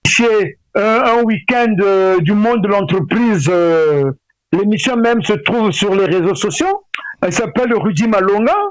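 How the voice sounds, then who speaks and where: A middle-aged man with a Congolese French accent, speaking as an interview guest in a halting way with repeated words. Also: sits high, lively intonation, dry recording. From Brazzaville, Republic of the Congo. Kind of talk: spoken interview, opinionated discussion. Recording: dull lo-fi radio sound.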